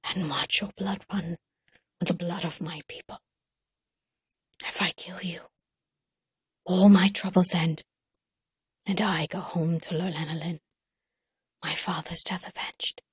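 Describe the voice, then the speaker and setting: A woman voicing a grieving, vengeful young elf warrior woman, her lines often hushed and whispered. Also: fairly low pitch, fairly even intonation, unhurried, pauses often, breathy edge, dry recording. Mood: torn whisper. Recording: dull lo-fi recording.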